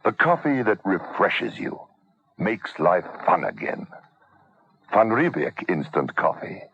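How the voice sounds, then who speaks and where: A man reading a scripted vintage radio advertisement as an announcer, in a husky voice. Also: low voice, very animated, takes it slowly, a bit bright. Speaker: commercial announcer. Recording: dull vintage radio recording.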